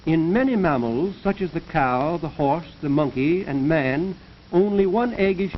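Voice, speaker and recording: A man giving formal, measured, scripted narration in the manner of a 1930s educational science film, lecturing as the narrator. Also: a little high, expressive. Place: recorded voice-over for a film. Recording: dull, noisy 1930s film soundtrack.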